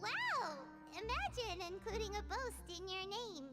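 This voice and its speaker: Squeaky, very high tiny fairy companion. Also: female voice, big pitch swings, measured pace, loud music behind the voice, heavily acted. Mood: amazed, cheeky. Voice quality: squeaky, childlike. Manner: speaks of herself in third person, exclamatory. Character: tiny childlike floating fairy companion, female, chirpy and cheeky. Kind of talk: video game voice acting.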